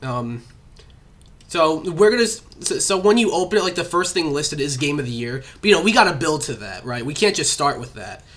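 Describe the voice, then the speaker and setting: Bright-voiced young man hosting a chat. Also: on the high side, sing-song, brisk. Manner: rambling, many fillers. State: has a cough. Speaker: podcast host. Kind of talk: casual chat.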